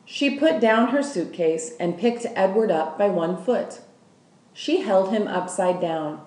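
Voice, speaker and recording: Expressive, unhurried woman reading a story. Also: medium pitch, far from the mic. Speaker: school staff member reading aloud. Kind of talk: scripted storybook narration, read aloud. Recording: echoey room.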